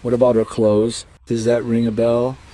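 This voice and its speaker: An actor playing a dry, probing detective inspector in a crime radio play, speaking in a measured, questioning way. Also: male voice, mid-range voice, flat delivery, dark tone, close to an everyday voice.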